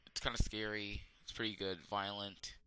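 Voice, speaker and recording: A man's voice: fairly low pitch, little pitch movement, bright, forceful tone, slight background noise, low-quality recording. A young man giving a rambling, casual movie review, full of fillers and restarts, with a sore throat. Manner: halting, many fillers and restarts. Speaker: amateur video reviewer.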